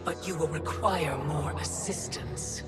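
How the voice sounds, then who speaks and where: An actress playing a calculating, regal mother goddess in a video game, measured and imperious, over loud music. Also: low-pitched. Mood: cool command.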